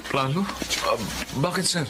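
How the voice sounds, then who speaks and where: Meek driver with a highish voice. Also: male voice, lively intonation, measured pace. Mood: worried. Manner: deferential, hesitant, stammering. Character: humble, apologetic male family driver and father. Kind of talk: Filipino radio drama acting. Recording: loud ambience and vehicle noise.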